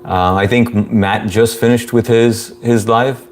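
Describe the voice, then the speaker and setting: A man in his thirties hosting a casual live stream, greeting viewers and reading their comments aloud in a laid-back, monotone way with frequent chuckles. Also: lowish voice, measured pace, a little hiss or hum.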